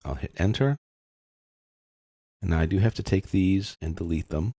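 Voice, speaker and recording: A man narrating a software tutorial in a casual, methodical way, explaining each step as he goes. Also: sits low, moderate pace, slightly rough, no echo, clean studio sound. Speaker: software instructor.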